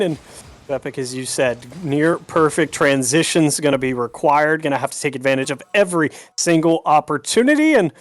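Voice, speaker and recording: Male play-by-play caster, fairly high-pitched. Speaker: esports commentator. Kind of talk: live play-by-play commentary.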